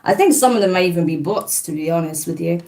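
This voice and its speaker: A woman's voice: on the low side, animated, quick pace, a little mellow, recorded from a distance. A woman with a slight New Zealand twang hosting a live card-reading stream, chatting casually and rambling as she reads viewer comments and bluntly dismisses trolls.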